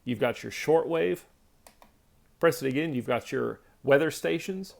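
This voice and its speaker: A man's voice: on the high side, a little slow, creaky, a little mellow. A man giving a casual product demonstration, explaining things step by step in a plainspoken way. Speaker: product reviewer.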